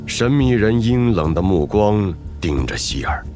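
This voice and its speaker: 一名男旁白，以戏剧化的方式讲述动画故事。. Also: male voice, on the low side, very animated, a little slow, over music. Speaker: narrator. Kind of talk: dramatic scripted narration of an animated story.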